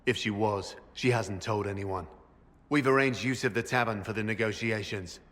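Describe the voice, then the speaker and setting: A man's voice: fairly low pitch, normal speed, a bit bright, long reverb tail, lightly acted. A man playing a sober, dutiful scout in a video game, reporting plainly to his leader. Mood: businesslike, concerned. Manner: plain, businesslike.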